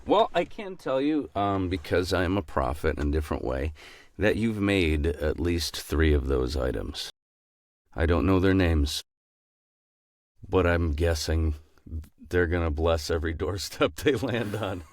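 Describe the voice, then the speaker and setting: A man with a low but very lively voice co-hosts a podcast, joking around playfully. The recording is dry and close. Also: a little slow, no echo. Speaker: podcast co-host. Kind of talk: casual interview chat.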